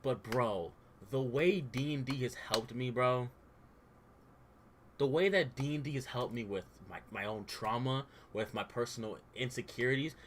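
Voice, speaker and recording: A man's voice: medium pitch, normal speed, a little hiss or hum. A young man who stutters at times, talking candidly in a loose, informal way and saying "bro" and "like" over and over, as a streamer and dungeon master. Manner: informal, says "bro" and "like" a lot. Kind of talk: casual heartfelt rant on stream.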